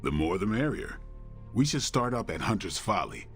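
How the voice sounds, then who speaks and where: Tough monster hunter for a game: low, sardonic, curt, with expressive swings in pitch. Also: male voice, moderate pace, soft background music. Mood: brusque, wry. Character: brusque, dogged male monster hunter, tough. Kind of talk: video game cutscene acting.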